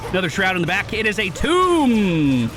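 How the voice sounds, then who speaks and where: A man chatters through a gameplay session in a loose, casual way, swearing now and then. His pitch sits a little high and swings around a lot. Game music plays steadily behind him. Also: huge pitch swings, moderate pace. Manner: chatty, swears and laughs while playing. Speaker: gaming video creator.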